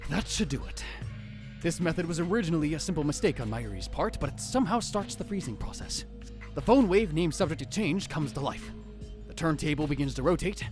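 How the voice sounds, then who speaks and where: A man's voice: a little high, brisk, close to an everyday voice. A man voicing a dramatic young self-proclaimed mad scientist in a visual novel fan dub, theatrical and grandiose in manner. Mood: musing, narrating. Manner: theatrical, grandiose, swinging into excited exclamations. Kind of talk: visual novel fan dub, first-person narration and dialogue. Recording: music behind the voice. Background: music.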